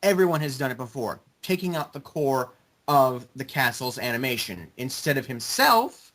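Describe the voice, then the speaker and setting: A man's voice: mid-range voice, lively intonation, normal speed, fairly bright tone. A man casually explaining game lore in an assertive way. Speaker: member of a gaming video group.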